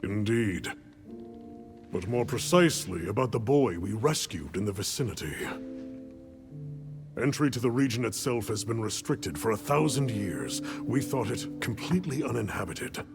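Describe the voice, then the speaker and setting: An actor playing a composed guild superior in a game cutscene, speaking formally and with measured deliberation. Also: male voice, on the low side, animated, music in the background. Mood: grave, measured. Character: composed, authoritative male guild superior giving orders. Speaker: guild official briefing a hunter. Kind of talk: cinematic game cutscene briefing.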